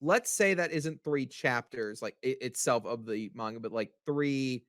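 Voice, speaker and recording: A man's voice: mid-range voice, measured pace, a bit bright, no echo. Casual man with a close dry sound. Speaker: podcast co-host. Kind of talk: casual panel chat about manga.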